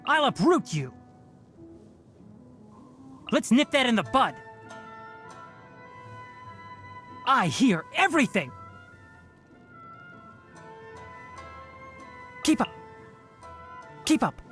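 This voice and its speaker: A man playing a young fox-eared forest watcher in a video game, bookish and kindly, speaking articulately with a dry, gently instructive manner. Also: very high-pitched, big pitch swings, unhurried, pauses often, soft background music, heavily acted. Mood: brisk, battle-ready. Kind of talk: video game character voice lines.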